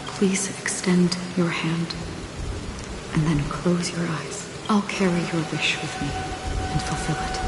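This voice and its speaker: An actress voicing a solemn female watcher who guides lost souls, speaking in a calm, measured way and softly whispering at times. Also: sits low. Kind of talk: game cutscene voice acting. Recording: rain noise behind the voice.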